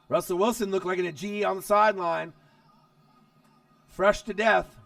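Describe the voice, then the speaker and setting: Hoarse, gravelly man on a football stream. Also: sits high, far from the mic. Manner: excitable, chatty, talks straight to viewers. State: hoarse, losing his voice. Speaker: live stream host. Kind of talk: casual football watch-along commentary.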